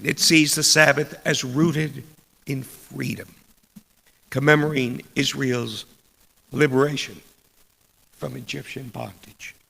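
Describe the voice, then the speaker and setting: A man reads a scripted sermon aloud as a preacher, in a deliberate, measured way, pausing between short phrases in a large church. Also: medium pitch, fairly even intonation, creaky, pushed and bright, slightly rough. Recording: long church reverb.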